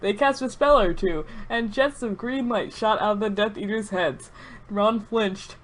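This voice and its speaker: A woman's voice: medium pitch, a little slow. A woman reading an absurd story aloud with mock-serious drama, often breaking into giggles.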